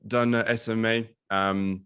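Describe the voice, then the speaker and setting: A man's voice: on the low side, little pitch movement, unhurried, fairly bright tone, clear, resonant tone. A young man from Latvia, speaking as a guest business coach on a video call, casual and full of ums and likes, swearing now and then.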